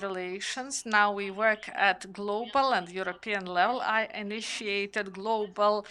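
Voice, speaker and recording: Slow, halting woman, native Romanian speaker. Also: mid-range voice, fairly even intonation, sharp tone, smooth. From Ukraine. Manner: halting, many hesitations. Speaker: panel speaker. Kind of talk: press conference remarks.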